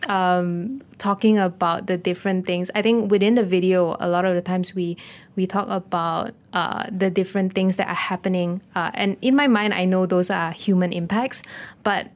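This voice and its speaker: A woman with a mellow, low voice, answering interview questions hesitantly. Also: steady tone, normal speed. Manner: hesitant, many "I think" and "uh". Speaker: guest, voice actor.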